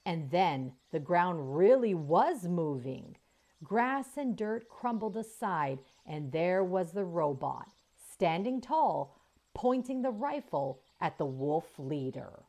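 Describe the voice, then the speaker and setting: A woman reading a children's chapter book aloud as the channel's narrator, in slow, expressive storytelling. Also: fairly low pitch.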